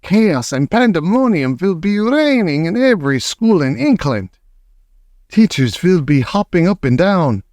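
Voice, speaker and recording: A man voicing a gloating old female witch leader who shouts in broken grammar. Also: high voice, very animated, unhurried, dry recording, clean studio sound, pitched above the performer's own voice.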